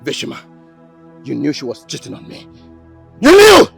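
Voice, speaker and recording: An actor playing a betrayed, furious young man who shouts accusations at his friend. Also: medium pitch, steady tone, fairly quick, gravelly, breathy edge, faint music behind, exaggerated voice. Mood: heartbroken.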